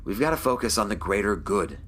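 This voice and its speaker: A stern, protective male captain played by an actor in a sci-fi audio drama. He sounds measured, weary and commanding, low in pitch, with a faint bed of noise. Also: very animated, fairly bright tone. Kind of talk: scripted audio drama acting.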